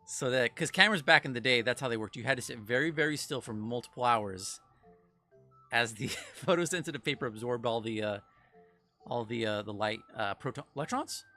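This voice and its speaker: A bright, forceful-voiced man chats loosely as a guest developer, restarting sentences and chuckling as he goes. He sounds like he is on a remote call mic, with faint music playing behind him. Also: mid-range voice, brisk. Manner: rambling, self-interrupting, laughs often. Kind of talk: casual stream chat.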